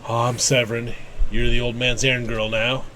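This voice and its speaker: A man's voice: medium pitch, flat delivery, moderate pace, bright, forceful tone, a little hiss or hum, lightly acted. A man voicing a surly, insolent rogue in a fantasy game, scornful and dismissive.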